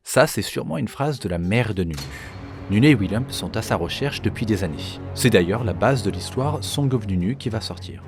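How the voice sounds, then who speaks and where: Low-voiced man explaining, soft music behind. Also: lively intonation, brisk. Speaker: video game lore creator. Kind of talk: explanatory commentary.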